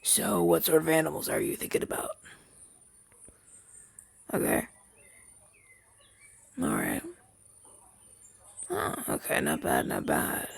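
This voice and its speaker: A man voicing a gentle, wistful demon familiar in an ASMR roleplay, soft-spoken and hesitant, in a breathy, husky half-whisper. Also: on the high side, wildly swooping pitch, slow pace, pauses often, no echo, full character voice. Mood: encouraging. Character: gentle, wistful male rogue demon familiar left masterless. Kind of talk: ASMR roleplay audio drama, softly spoken to listener.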